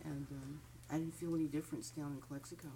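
Woman from southern california, low voice, candid, personal story. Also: flat delivery, fast talker, crowd noise, rough recording. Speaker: participant. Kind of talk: personal sharing in a group.

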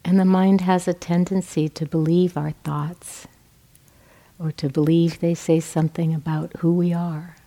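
A woman teaching meditation, giving gentle, measured guidance with pauses between phrases. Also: fairly low pitch, level tone. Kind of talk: guided meditation instruction.

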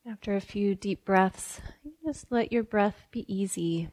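A woman leading a guided meditation as a teacher, speaking gently and unhurriedly with pauses. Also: mid-range voice, no echo.

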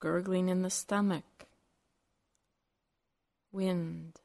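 A woman teaching a guided meditation in measured, short phrases separated by long pauses. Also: fairly low pitch, little pitch movement, gravelly, darkish tone.

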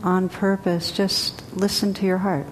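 A woman teacher guiding a group meditation in a gentle, unhurried way with long pauses. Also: flat delivery. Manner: slow, gentle, spacious pauses. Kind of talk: guided meditation. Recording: long hall reverb, faint rumble.

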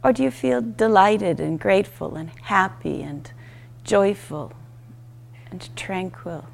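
A woman teaching a guided meditation, speaking in gentle, unhurried instruction with short, spaced phrases. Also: mid-range voice, creaky.

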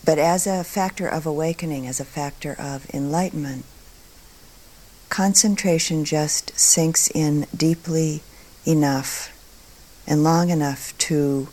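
A woman with a low-pitched voice gives a guided meditation talk. She speaks very slowly and calmly, setting out each short phrase with care and leaving generous pauses between them. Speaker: meditation teacher.

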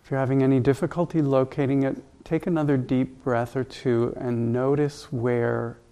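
A man leading a guided meditation as a teacher, speaking calmly and gently in unhurried, spaced instructions. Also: medium pitch, gravelly.

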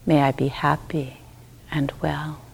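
A woman leads a guided meditation in a soft, fairly low voice with plenty of creak in it. She speaks very slowly and gently, leaving long pauses between short phrases, and her endings trail into breathiness. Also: little pitch movement, a little hiss or hum, rough recording. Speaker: meditation teacher leading a group. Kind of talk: guided loving-kindness meditation.

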